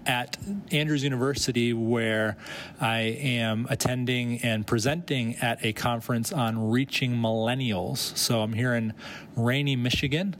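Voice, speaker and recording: A man hosting a casual interview podcast, relaxed and encouraging, often answering with short mm-hmms. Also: mid-range voice, unhurried. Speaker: podcast host. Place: conference venue.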